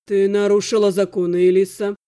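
A woman's voice: medium pitch, level tone, a little fast, mellow, pitched below the performer's own voice, lightly acted. A woman voicing a stern male necromancer in an audiobook, icy and clipped with held-back anger. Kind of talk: audiobook character acting.

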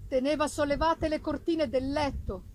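A woman gives a dramatic, theatrical reading of a Gothic novel, with deliberate phrasing and strong emphasis. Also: sits high, fairly even intonation, darkish tone, slight background noise. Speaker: reader performing a literary text.

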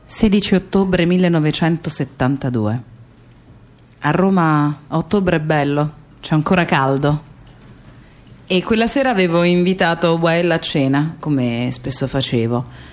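A woman's voice: low voice, a little slow. An actress playing a woman who remembers her murdered friend in an intimate, conversational radio theatre monologue with small hesitations. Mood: fond reminiscence.

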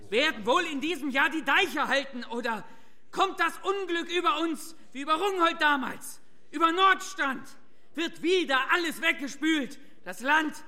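Tense, high-voiced defiant leader. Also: male voice, sharp tone, exaggerated voice. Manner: emphatic, clipped declarations. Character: defiant, driven dike master addressing villagers. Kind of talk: radio drama oratory.

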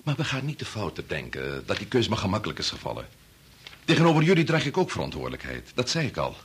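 An actor playing a grave middle-aged chief bacteriologist and family man torn by conscience, speaking formally and deliberately in a radio play. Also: lowish voice, wildly swooping pitch, breathy edge, heavily acted. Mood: solemn. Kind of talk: Dutch radio play acting.

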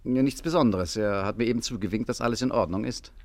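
An actor playing a cocky, coolly threatening gentleman gangster in a 1950s German radio play. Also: medium pitch, fast talker, lightly acted. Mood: feigned obedience. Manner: breezy, mocking, self-assured.

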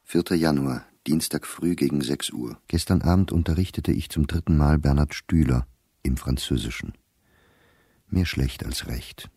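A man's voice: a bass voice, normal speed, mellow, husky, heavily acted. An actor playing a weary, persecuted middle-aged diarist in a radio play, reading his entries in a terse, restrained, bitter way. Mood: weary, sober. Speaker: diary reader in a radio play. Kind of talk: sober literary diary reading.

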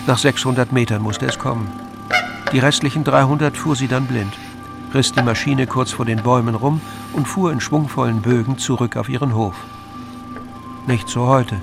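Guy narrating a novel, creaky voice, normal pitch, music going behind him. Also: normal speed. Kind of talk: scripted literary narration. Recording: clear music bed behind voice.

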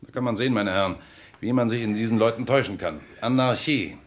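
Low-voiced, brusque army captain played by a man. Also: fast, natural voice. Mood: impatient, scornful. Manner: condescending, barking military officialese. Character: brusque, dismissive male army captain, condescending and impatient. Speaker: actor in a radio play. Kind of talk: 1960s German radio drama acting.

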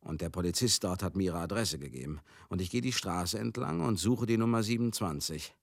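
An actor in a German radio drama monologue, playing a garrulous, rough-mouthed showbusiness man, chatty, theatrical and excitable. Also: sits low, a little fast, no room sound, studio quality, exaggerated voice. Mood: chatty reminiscing. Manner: chatty, theatrical, swinging into outbursts.

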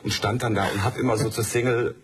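Deep-voiced man chatting reflectively. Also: fairly even intonation, fast, breathy edge. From Germany and Norway. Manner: chatty, reflective, many fillers. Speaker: studio guest. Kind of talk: casual conversation.

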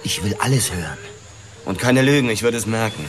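An actor playing a hard, bitter police detective in a radio drama, questioning in a confrontational, demanding way. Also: male voice, lowish voice, lively intonation, brisk, big-room sound, background noise, natural voice.

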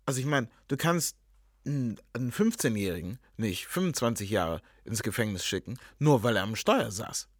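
An actor playing a cocky, indignant smuggler with a colloquial, provocative manner in a radio play. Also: male voice, medium pitch, sing-song, normal speed, dry recording, clean studio sound. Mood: indignant, casual. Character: cocky, indignant male smuggler, casual self-styled helper and philosopher.

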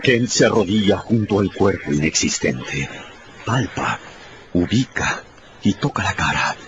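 A man's voice: low voice, animated, a little slow, fairly bright tone, slight background noise. A man giving theatrical, emphatic scripted narration for a radio drama. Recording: muffled, under prominent music. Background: dramatic music.

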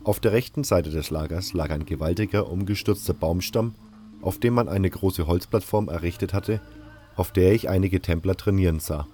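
A man with a low voice narrates a fantasy audio drama in the first person. It is scripted storytelling, with faint music behind him. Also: moderate pace, warm tone.

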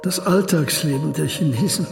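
A man playing a reflective elderly academic in a radio documentary play, speaking in a measured, deliberate way. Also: fairly high pitch, vocal fry. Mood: matter-of-fact. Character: reflective elderly academic, homesick returned emigrant husband. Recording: roomy echo, music behind.

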